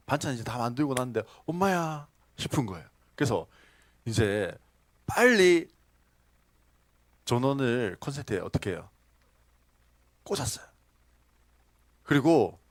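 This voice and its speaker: Male pastor, sermon delivery, animated pitch swings, dry close sound, chatty questions to the pews. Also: no room sound. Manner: storytelling with questions to the congregation, laughing asides. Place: church sanctuary.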